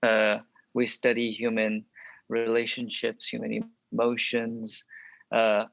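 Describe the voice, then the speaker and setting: Soft-spoken reflective man, dry dull sound. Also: mid-range voice, no echo. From Texas. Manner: reflective, many ums and restarts. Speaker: actor, podcast guest. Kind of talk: casual interview storytelling.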